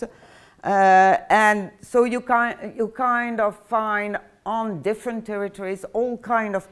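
A woman's voice: mid-range voice, smooth. An older woman with a French accent gives a university lecture, hesitant and full of fillers and restarts, heard from a camera across a classroom. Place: classroom with projector screen.

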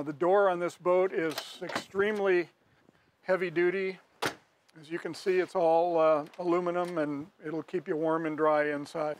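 Older guy selling a boat, slightly high voice, friendly with some uhs, big indoor showroom. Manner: friendly, plain sales pitch with some fillers. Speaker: boat salesman. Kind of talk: product walkthrough.

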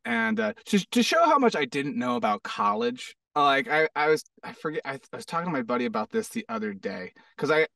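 Creaky, animated man, a little off-mic. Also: fairly high pitch, brisk, hardly any pauses, no room sound, studio quality, distant mic. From United States: Hawaii and Arizona. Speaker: podcast guest, actor and stunt performer. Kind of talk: casual chat, storytelling.

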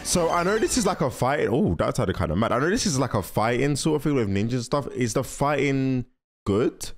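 A man's voice: mid-range voice, very animated, a little fast. A young man giving casual, slangy reaction commentary, thinking aloud and repeating himself, close on the mic. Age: young adult. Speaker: reaction video host. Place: indoor room. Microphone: large microphone close to mouth. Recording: music and show audio behind.

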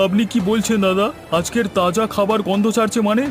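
A man's voice: sits high, steady tone, fast talker, over music, lightly acted. A man voicing a defensive, bad-tempered village eatery owner in a cartoon. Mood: defensive, pleading. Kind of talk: Bengali cartoon dialogue.

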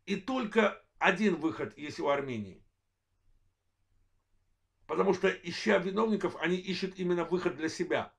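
A man in his forties from Azerbaijan with a worn voice, delivering a political analysis monologue in measured, halting short phrases as host of his own channel. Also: high voice, lively intonation, vocal fry. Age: 40s. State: voice worn, apologizes for it.

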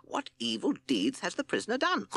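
A man playing a loud, snorting pig judge. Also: high-pitched, wildly swooping pitch, fairly quick, dry recording, pitched above the performer's own voice, full character voice. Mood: impatient. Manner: snorting, shouty and impatient. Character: blustering male pig judge, short-tempered.

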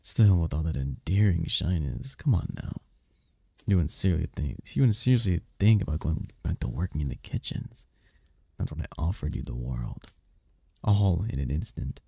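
Male actor as demon prince, ASMR roleplay, dark mellow tone, swooping intonation, gravelly, commanding, seductive. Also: lively intonation, no echo, heavily acted. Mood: tender, possessive. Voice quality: husky, gravelly low voice. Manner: regal, slow-savouring, flirtatious.

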